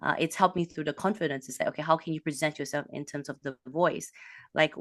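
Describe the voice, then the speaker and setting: A woman from a Malaysian background, a business coach, talking in an animated way with quick bursts and restarts. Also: low-pitched, no echo, clean studio sound. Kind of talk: casual interview talk.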